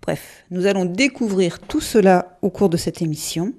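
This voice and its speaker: Mellow-voiced woman radio host. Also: on the low side, animated. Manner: measured, reads a prepared introduction. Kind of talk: prepared radio interview introduction.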